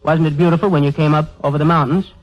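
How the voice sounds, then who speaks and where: A man's voice: a little high, steady tone, rapid-fire, lightly acted. A man playing the eager, wordy straight man in a 1930s radio comedy duo, with flowery words and stammered repeats. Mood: chatty, coaxing. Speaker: comedian in a double act. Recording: dull 1930s radio broadcast recording.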